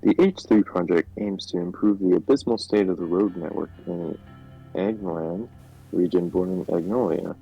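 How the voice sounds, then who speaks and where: Man reading as a gravelly, low government minister. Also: moderate pace, mellow, muffled recording, soft background music, heavily acted. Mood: earnest, businesslike. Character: formal male government minister briefing his president. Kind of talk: amateur voiced read of game dialogue.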